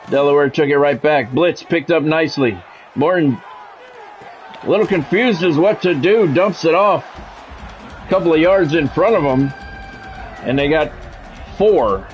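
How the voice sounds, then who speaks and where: A man with a voice a little higher than average delivers sports play-by-play for a video game football match. He talks conversationally, dropping dry, wry asides between calls. Faint music plays behind him. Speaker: play-by-play announcer.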